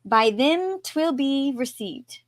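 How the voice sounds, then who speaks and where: A woman's voice: high voice, expressive, takes it slowly, no echo. A woman co-hosting a podcast in casual discussion, with a giggly, somewhat hesitant way of talking. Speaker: podcast co-host.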